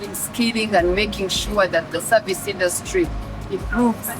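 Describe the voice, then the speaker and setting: A woman gives formal interview remarks as an association head. Her pitch is average, and music plays clearly behind. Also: a little slow, poor audio. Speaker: hotel association chairperson.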